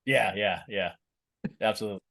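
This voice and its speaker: A man's voice: on the low side, moderate pace, creaky, a bit bright. Chatty Boston man with lots of restarts. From Boston, USA. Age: middle-aged. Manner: rambling, many restarts and fillers. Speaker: actor, podcast guest. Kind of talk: casual interview chat.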